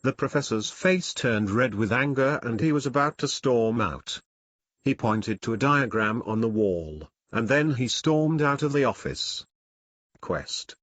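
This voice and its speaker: A man's voice: mid-range voice, fairly bright tone, dry recording. A man reading a scripted story for children as its narrator.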